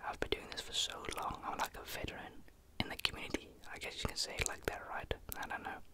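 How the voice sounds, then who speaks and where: A teenage man, whispering a rambling, casual chat into a close studio mic in a small bedroom. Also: fast talker. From Netherlands. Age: teens. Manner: casual, rambling, with many fillers. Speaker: ASMR YouTuber. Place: small bedroom with a sloped ceiling. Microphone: large studio mic close on the desk.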